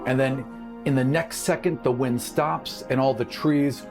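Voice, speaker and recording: A man working as game master, narrating an improvised fantasy scene in an animated way with vocal sound effects and repeated words. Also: medium pitch, unhurried, music in the background. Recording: background noise behind the voice.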